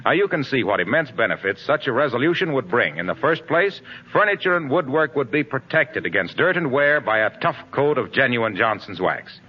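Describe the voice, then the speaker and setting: Husky American man, vintage radio announcer. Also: mid-range voice, very animated, a little fast, hardly any pauses. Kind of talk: 1940s radio advertisement read, polished persuasion. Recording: muffled 1940s radio broadcast.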